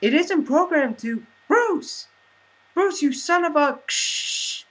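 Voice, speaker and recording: A man voicing a panicked woman calling over a race radio, high and strained. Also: very animated, unhurried, pushed and bright, full character voice. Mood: alarmed.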